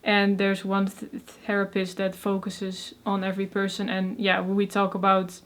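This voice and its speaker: A young woman with a Dutch accent speaks as an interview guest, stuttering and breaking off on first sounds. She is soft-spoken and uses many fillers. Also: medium pitch, completely flat delivery, normal speed. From Netherlands. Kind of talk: casual interview conversation.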